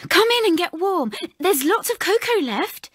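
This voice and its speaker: A woman voicing an eager, excitable young English girl in a ghost story, gushing and squealing. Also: very high voice, moderate pace, dry recording, clean studio sound, voice pushed up. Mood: eager, welcoming.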